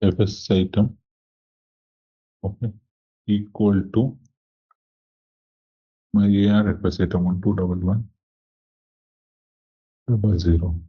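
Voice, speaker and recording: Guy with low husky voice doing a software tutorial, talks thru each step, kinda dull audio. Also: steady tone, takes it slowly, long pauses. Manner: thinks aloud, asks himself questions and checks understanding. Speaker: software trainer.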